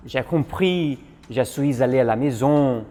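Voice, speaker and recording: A man's voice: medium pitch, dark tone. Careful man narrating. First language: Portuguese. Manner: careful, deliberate learner's delivery. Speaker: vlogger. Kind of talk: scripted narration of a learning vlog.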